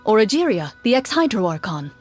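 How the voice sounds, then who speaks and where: A woman's voice: medium pitch, big pitch swings, fairly quick, natural voice. A woman playing a serious young swordfighter who narrates a tabletop game, formal and matter-of-fact, in a video game. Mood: composed, explanatory. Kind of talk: video game voice acting, storytelling. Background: music.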